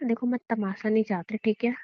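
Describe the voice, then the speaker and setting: A rather high-pitched young wife, played by a woman, argues stubbornly in a relationship audio drama. She is defiant and demanding, with a taunting edge. The sound is close and dry, a little dulled. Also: fairly even intonation, a little fast, no room sound, lo-fi sound, natural voice. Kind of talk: acted Hindi relationship audio drama, conversational.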